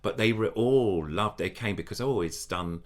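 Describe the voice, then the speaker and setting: Older male guest, very low nasal voice, rambling enthusiastic talker. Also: normal speed. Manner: rambling, enthusiastic, many restarts. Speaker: puppeteer guest. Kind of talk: casual interview chat.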